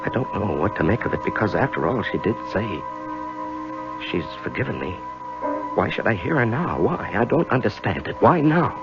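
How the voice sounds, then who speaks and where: An actor playing a haunted, ambitious middle-aged lawyer with a halting, brooding manner in a radio drama. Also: male voice, on the low side, sing-song. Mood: brooding, uneasy. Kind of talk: 1970s radio drama acting and narration. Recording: dull old radio recording, music behind.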